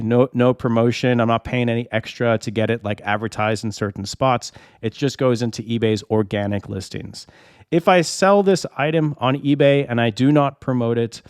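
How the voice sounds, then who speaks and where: A man's voice: medium pitch, no room sound, clean studio sound. A man who is feeling under the weather, talking in a casual, chatty way as a channel host. He repeats himself and builds a long hypothetical example, with rhetorical questions along the way. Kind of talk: casual explainer to camera.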